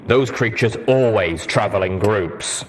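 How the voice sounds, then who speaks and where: Man doing a stern, deep armoured ghoul soldier. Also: slight background noise, lightly acted. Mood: stern, impatient. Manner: stern, terse, deliberate.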